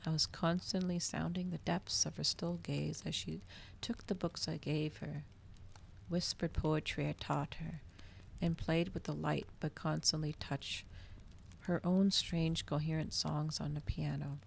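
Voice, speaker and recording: A soft-spoken woman reading a novel aloud in an ASMR style, at times close to a whisper. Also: low voice, flat delivery, a little slow, vocal fry, slight background noise.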